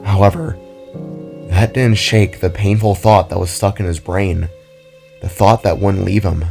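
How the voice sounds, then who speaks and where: A man's voice: deep voice, steady tone, unhurried, creaky, a little mellow. A man narrating a dramatic scripted story as its storyteller. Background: music.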